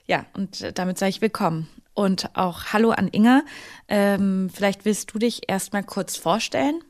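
A woman's voice: mid-range voice, normal speed. A woman co-hosting a podcast, talking casually and rambling with many fillers. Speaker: podcast co-host. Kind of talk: podcast chat.

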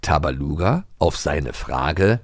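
A man voicing a wise ancient tree of life, speaking slowly in a deep, intoned voice. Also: very animated, no room sound, full character voice. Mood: solemn. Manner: slow, drawn-out, oracle-like delivery.

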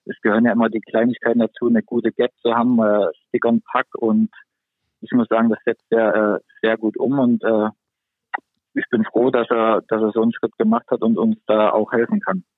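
A man's voice: on the low side, level tone, no room sound. Man on a tinny phone line. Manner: halting, lots of fillers and restarts. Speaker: ice hockey defenceman guest. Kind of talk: casual podcast interview.

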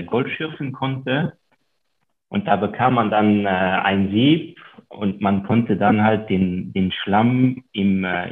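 Man in his 30s, French accent, lowish voice, slow and hesitant, phone-line sound. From Luxembourg. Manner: hesitant, many ums and uhs. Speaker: guest investor and author. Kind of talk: podcast interview.

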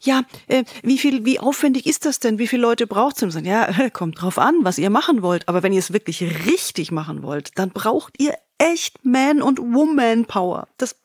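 A woman's voice: fairly high pitch, expressive, moderate pace, hardly any pauses, no echo, studio quality. A woman co-hosting a podcast in casual chat, speaking in an exclamatory, demonstrative way. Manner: exclamatory, acts out imagined quotes. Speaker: podcast co-host and reviewer.

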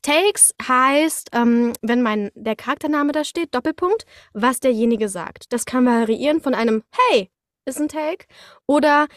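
A young woman chatting casually as a guest, telling anecdotes in a lively, slangy way. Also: high-pitched, unhurried, no echo, studio quality. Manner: animated storytelling with slangy, colloquial wording. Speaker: guest, voice actress.

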